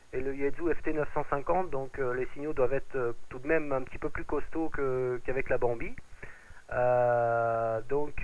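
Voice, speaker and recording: Man on phone-like radio link. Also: mid-range voice. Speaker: amateur radio operator. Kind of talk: casual radio contact chat, handing over the mic. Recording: narrow, phone-like radio transmission.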